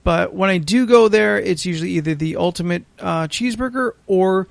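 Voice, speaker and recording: A man's voice: sits high, fairly quick, vocal fry, dry recording. An American man co-hosting a podcast in loose, casual conversation. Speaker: podcast co-host. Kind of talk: casual chat.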